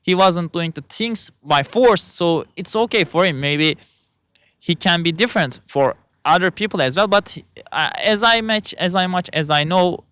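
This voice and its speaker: A young man with a Turkish accent speaking English as a second language, talking in a casual monologue and often pausing to search for words. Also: high-pitched, lively intonation, fairly bright tone, clean tone, no echo. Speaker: vlogger.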